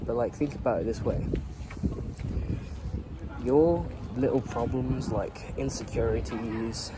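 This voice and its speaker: A man's voice: medium pitch, takes it slowly, background noise. A man in his late teens, a YouTuber, talking through a rambling, earnest reflection and swearing casually.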